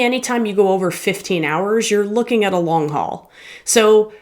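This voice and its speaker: A woman hosting a channel, giving a candid, conversational review of an audiobook. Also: mid-range voice. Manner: candid, conversational, measured opinions. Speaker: book reviewer. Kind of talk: casual spoken book review.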